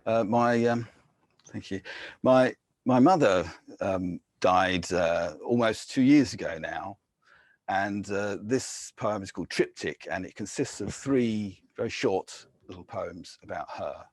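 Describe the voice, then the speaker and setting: An English man giving a measured poetry reading, with soft, hesitant asides between poems. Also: fairly low pitch, no room sound. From England. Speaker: poet.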